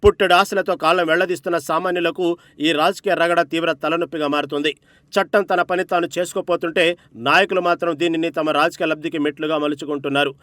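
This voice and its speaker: A man's voice: a little high, fairly even intonation, fast talker, a bit bright, no echo, studio quality. A man reading a scripted news report as narrator, with an emphatic, dramatic delivery.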